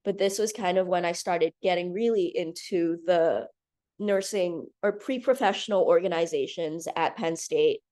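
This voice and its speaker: A woman's voice: fairly low pitch, normal speed, mellow. A young woman in her twenties from Hong Kong, a new nursing graduate giving a slide presentation with many ums. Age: 20s. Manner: earnest, nervous, with frequent ums. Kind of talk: presentation over video call.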